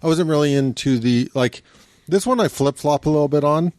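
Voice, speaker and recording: A man's voice: fairly high pitch, fairly quick, a little mellow, no echo. A man co-hosting a podcast in casual conversation. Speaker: podcast co-host. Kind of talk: casual chat.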